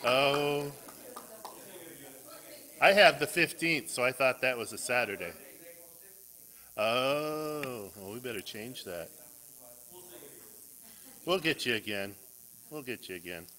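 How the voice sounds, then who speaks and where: A man's voice: mid-range voice, animated, slow pace, pauses often, sharp tone. An older man, a pastor, chatting casually and teasingly with his congregation in a small church. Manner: playful, teasing. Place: small church sanctuary, at pulpit.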